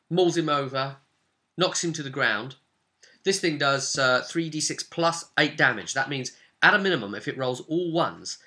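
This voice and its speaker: A man with a very bright, forceful voice at an average pitch. He talks casually as a game master recapping a tabletop session, telling it in an animated way and breaking off into asides and tag questions. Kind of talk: casual vlog recounting a tabletop role-playing game.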